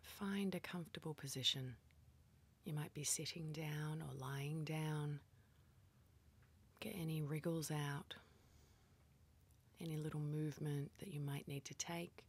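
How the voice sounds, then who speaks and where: Female meditation guide, low-pitched, very breathy and soft, calm gentle instruction. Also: measured pace, pauses often.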